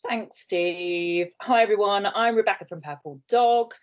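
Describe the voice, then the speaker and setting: A woman's voice: mid-range voice, a little slow. A woman with a southern English accent presenting to an online group, friendly and chatty. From southern England. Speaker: guest speaker, marketing presenter. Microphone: video call. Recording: dull lo-fi video call audio.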